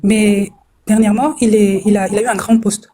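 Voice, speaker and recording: A woman's voice: mid-range voice, steady tone, normal speed, mellow, a little hiss or hum. A woman caller from France telling her troubles, hesitant and distressed and often repeating herself.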